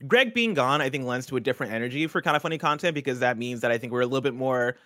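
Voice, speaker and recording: Man, podcast host, casual gaming chat, energetic, chatty, dry close sound. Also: medium pitch, very fast, no echo. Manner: energetic, chatty, frequent "like" fillers.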